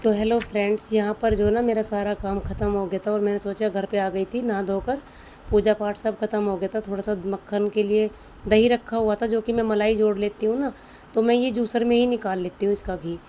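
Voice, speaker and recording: A woman with a mellow, slightly dark voice and a sore throat, chatting in a homely way straight to her viewers. There is faint noise behind her. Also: medium pitch, fairly even intonation, a little fast. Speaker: vlogger. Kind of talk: casual home vlog voice-over.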